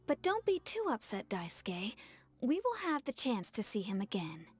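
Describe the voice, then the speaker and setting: An actress playing a gentle, fair-minded mother in a video game, speaking in a soft, reassuring way. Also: a little high, wildly swooping pitch, normal speed, slightly rough, faint music behind, full character voice.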